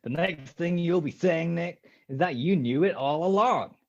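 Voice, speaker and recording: An actor playing an urbane, mocking villain in a radio detective play, smug and drawling with elaborate politeness. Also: male voice, on the high side, normal speed, gravelly. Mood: amused, gloating. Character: suave gloating male villain, urbane and mocking. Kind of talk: old-time radio detective acting. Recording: dull, lo-fi video call recording.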